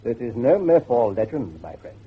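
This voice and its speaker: An actor playing an earnest, excitable scholar of werewolves, professorial and halting, with a husky voice in a horror drama. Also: male voice, medium pitch, phone-quality sound. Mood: earnest, ominous. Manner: professorial, hesitant, halting emphasis. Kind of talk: dramatic horror dialogue acting.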